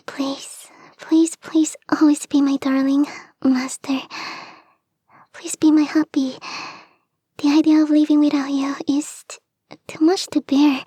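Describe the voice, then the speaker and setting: A woman voicing a clingy, lovestruck young kitsune fox girl in a soft, breathy, often whispered ASMR romance roleplay, coy and gushing. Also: sits high, dry recording. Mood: tearful pleading. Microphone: close microphone, dry.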